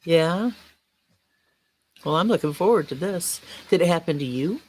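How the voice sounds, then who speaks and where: A woman's voice: on the low side, animated, measured pace, darkish tone, a little hiss or hum. A woman chatting casually on a podcast, self-effacing and easygoing in how she talks with the others. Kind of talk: casual chat.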